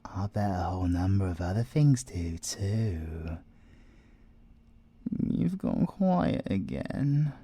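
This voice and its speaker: A man's voice: sits low, very animated, slow, warm tone, husky, no echo, heavily acted. A British man voicing an arrogant, flirtatious male siren thief in an ASMR audio roleplay, teasing and theatrical, sometimes dropping to a whisper. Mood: playful, coaxing.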